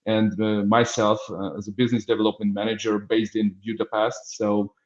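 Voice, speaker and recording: A man's voice: on the low side, little pitch movement, normal speed, reverberant, dull audio. A man with a Hungarian accent opening a business webinar on a video call, speaking hesitantly with many fillers and restarts. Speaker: business development manager. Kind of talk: webinar introduction.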